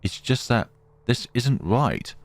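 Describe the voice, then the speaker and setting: Very animated gamer reading and reacting, dry sound. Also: medium pitch, takes it slowly, no echo. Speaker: gamer, let's player. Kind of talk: casual game commentary, reading text aloud.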